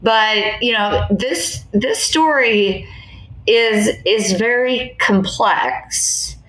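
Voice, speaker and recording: A woman with an American accent answering interview questions as an attorney, in a measured, explanatory conversational way. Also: a little high, fairly bright tone. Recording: echoey, roomy sound.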